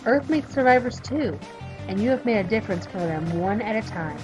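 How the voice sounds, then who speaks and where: Woman in her thirties, game reader, emphatic and lively, soft music underneath. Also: mid-range voice, brisk, low-quality recording. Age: 30s. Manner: animated and emphatic while reading, soft and subdued when talking about herself. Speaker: let's play streamer reading a visual novel. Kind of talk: reading game text aloud and commenting.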